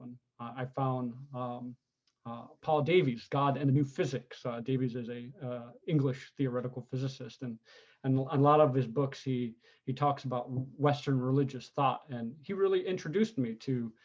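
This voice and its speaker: A man's voice: medium pitch, a little mellow. Thoughtful man on a dull line. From United States, California. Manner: thoughtful, unhurried, frequent ums and restarts. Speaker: interview guest, historian. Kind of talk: conversational interview.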